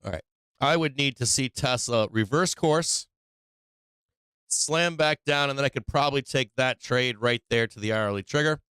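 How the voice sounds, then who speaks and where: A man's voice: medium pitch, normal speed, fairly bright tone, clear, resonant tone, no echo. A man who is losing his voice from being sick, hosting a casual stock-trading livestream, thinking aloud over charts and tossing in rhetorical "right?"s. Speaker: stock market livestream host.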